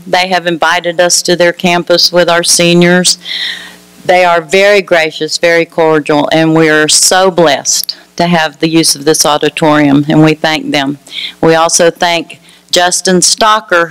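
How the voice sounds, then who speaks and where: A middle-aged woman, a lead teacher with a naturally loud voice, giving a ceremony speech in an auditorium with a warm, chatty way of addressing the audience. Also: on the low side, level tone, a little slow, smooth, dry recording, rough recording.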